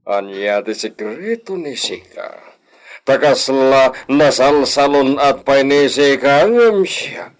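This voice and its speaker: Man playing boastful elderly landowner for radio comedy, Ilocano accent, slow and theatrical, big swings in pitch. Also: expressive. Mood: curious, pompous. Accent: Filipino, Ilocano.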